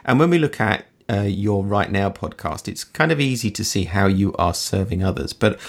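Low, husky, creaky male interviewer. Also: lively intonation, moderate pace. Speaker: podcast host, interviewer. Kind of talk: reflective conversational interviewing.

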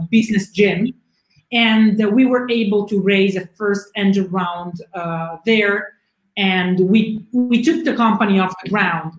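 A woman from southern Italy, answering interview questions in fluent, measured English. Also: mid-range voice. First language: Italian. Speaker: guest, publisher. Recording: echoey room.

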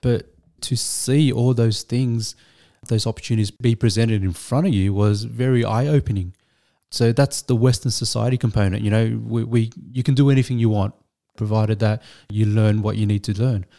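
A man, a Vietnamese speaker, telling his story as a guest in a measured, thoughtful way with frequent restarts. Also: on the low side, steady tone, gravelly, warm tone. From Australia. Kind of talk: reflective storytelling.

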